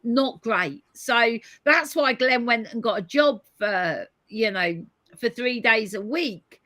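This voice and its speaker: A woman hosting a live-stream conversation over a video call, hoarse today, thoughtful as she reads out viewer comments. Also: fairly high pitch, animated, slow, bright, forceful tone, no echo. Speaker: host.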